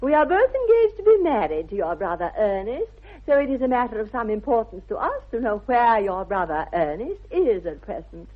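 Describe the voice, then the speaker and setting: A woman's voice: on the high side, very animated, a little fast, hardly any pauses. An actress playing a haughty young upper-class English society lady in a 1960s radio comedy, grand, precise and condescending. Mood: formal, pointed. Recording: thin, phone-like old radio recording.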